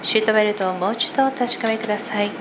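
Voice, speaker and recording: A woman's voice: mid-range voice, racing. A female flight attendant making a formal, polite scripted announcement over an aircraft cabin PA. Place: inside an airliner cabin, over the PA. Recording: muffled cabin PA, loud aircraft noise.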